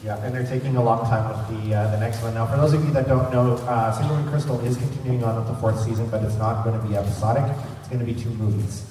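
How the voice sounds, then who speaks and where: A man's voice: mid-range voice, little pitch movement, quick pace, hardly any pauses, warm tone. Echoey man hosting a fan panel. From Canada. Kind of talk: informal convention presentation. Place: large convention centre room. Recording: echoey hall, distant mic, clear noise.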